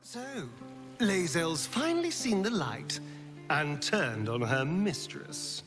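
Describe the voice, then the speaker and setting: An elegant, knowing rogue in a fantasy game. His voice is fairly high and very animated in pitch. He draws out his phrases with arch, languid drama. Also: slow pace, music in the background, exaggerated voice. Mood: knowing, wary. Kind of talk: cinematic video game acting.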